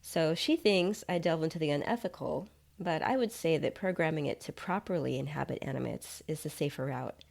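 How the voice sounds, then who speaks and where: Casual tech inventor in a body-swap audio story, female reader, low and gravelly, explaining matter-of-factly. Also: fairly quick, few pauses, darkish tone. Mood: casual, chatty. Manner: nonchalant, chatty, explanatory. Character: nonchalant male app developer inhabiting another's body.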